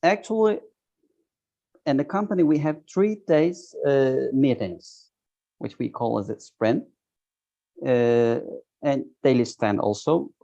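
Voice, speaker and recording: A Turkish-accented man in his thirties speaks in a gravelly, mellow voice over a dull, lo-fi video call. He talks haltingly, pausing often to search for the right English words. He sounds like an interview guest on a remote call. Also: medium pitch. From Turkey. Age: 30s. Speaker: guest, software tester.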